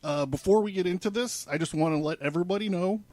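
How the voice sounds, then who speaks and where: A man's voice: sits high, quick pace, darkish tone, no echo. A man hosting a podcast in a casual, joking, slangy way with plenty of swearing. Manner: irreverent, profane, slangy. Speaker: podcast host. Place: another person's studio.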